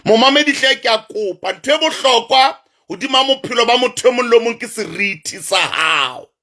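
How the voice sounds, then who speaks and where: A South African man with a Sesotho-influenced accent, a traditional healer addressing his online followers in a slow, emphatic, repetitive, preacher-like way. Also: very high-pitched, expressive, pushed and bright. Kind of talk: livestream address to viewers.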